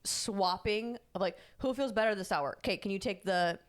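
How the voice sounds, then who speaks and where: A woman's voice: medium pitch, normal speed. A woman co-hosting a podcast who tells a long rambling story and corrects herself as she goes. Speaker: podcast co-host. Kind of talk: casual chat.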